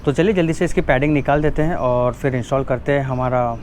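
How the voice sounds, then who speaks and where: A man's voice: medium pitch, quick pace, darkish tone, a little hiss or hum. A man with an Indian accent giving a casual, informal hands-on product walkthrough, mixing in English phrases. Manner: informal, switches between Hindi and English. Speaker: motovlogger presenting a product. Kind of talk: casual unboxing and installation walkthrough.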